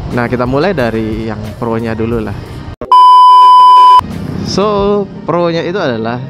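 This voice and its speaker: A man's voice: mid-range voice, poor audio. A man talking casually to viewers as a motorcycle vlogger, explaining things conversationally and often checking in with his listeners. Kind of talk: casual motovlog talk to viewers.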